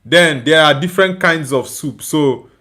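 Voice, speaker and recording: A young man from Nigeria hosts a live football watchalong. His voice sits a little high for a man. He talks in a loose, chatty way and laughs easily while reading out viewer comments. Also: unhurried, bright, forceful tone. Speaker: watchalong streamer. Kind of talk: casual live match reaction and chat reading.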